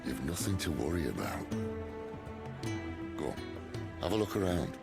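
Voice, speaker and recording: An actor playing a worldly, gruff middle-aged outlaw leader in a video game cutscene, speaking in a dry, wry, unhurried way. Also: male voice, a bass voice, very animated, over loud music, full character voice. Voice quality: gravelly. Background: orchestral game score.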